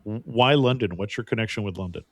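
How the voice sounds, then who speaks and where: A man's voice: lowish voice, quick pace, dry recording. A man hosting a travel interview podcast, asking his guest short, friendly questions. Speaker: podcast host. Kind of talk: casual interview.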